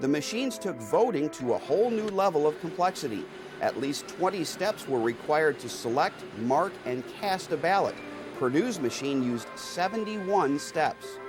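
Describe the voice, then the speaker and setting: A man's voice: on the high side, expressive, moderate pace, over music. A man reading scripted news narration as the narrator of an institutional newsreel.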